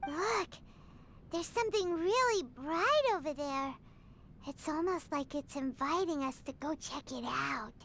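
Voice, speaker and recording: A woman voicing a tiny, excitable, jumpy fairy companion girl with a squeaky childlike voice in a video game. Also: a little slow, a little hiss or hum, exaggerated voice. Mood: curious.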